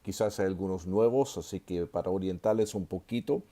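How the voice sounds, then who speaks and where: A man in his sixties, raised in Chile, giving an online seminary lecture in an unhurried way, with many filler sounds and restarts and the odd gentle joke. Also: low-pitched. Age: 60s. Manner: gently joking aside. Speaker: seminary professor.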